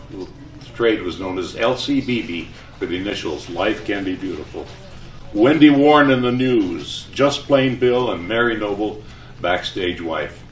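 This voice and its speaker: An older man with a fairly low voice speaks live to a gathering, telling anecdotes and reminiscing. He is recorded at a distance in a very echoey room. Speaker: retired radio announcer speaking to residents. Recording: echoey room, distant mic, faint noise.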